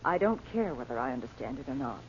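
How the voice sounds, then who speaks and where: A woman's voice: extremely low pitch, sing-song, fast. Anxious radio-serial mother. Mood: hesitant, concerned. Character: Dot, anxious, caring mother in adventure serial. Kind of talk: old-time radio serial acting. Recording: dull, noisy vintage radio recording.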